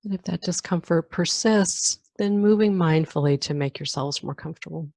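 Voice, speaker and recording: A woman guiding a meditation as a teacher, giving calm, gentle instructions with long pauses between phrases. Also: fairly low pitch, gravelly, no echo, studio quality. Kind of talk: guided meditation.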